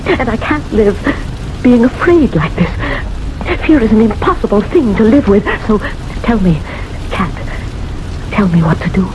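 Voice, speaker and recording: Actress as a timid older spinster, noisy old radio. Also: female voice, medium pitch, lively intonation, close to an everyday voice. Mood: uneasy, brooding. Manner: hesitant, halting, repeating her words. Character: timid, anxious, passive older spinster with flashes of defiance. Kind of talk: old-time radio drama acting.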